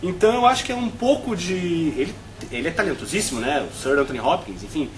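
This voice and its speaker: A man's voice: a little high, lively intonation, quick pace, slight background noise, rough recording. A Brazilian man on a film review panel, talking at length with frequent restarts and tag questions.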